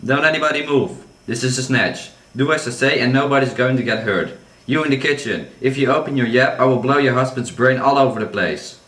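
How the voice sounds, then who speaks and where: A man's voice: medium pitch, a little fast, fairly bright tone, close to an everyday voice. A man playing a ruthless, short-tempered escaped convict in a radio play, giving threatening orders. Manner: bossy, threatening. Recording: echoey, distant, noisy room.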